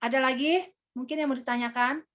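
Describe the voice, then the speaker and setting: Young woman, high-pitched, easygoing trainer with a rambling, filler-heavy delivery. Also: steady tone, brisk, a bit bright. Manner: chatty, calls herself Kakak, many fillers. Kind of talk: casual workshop teaching.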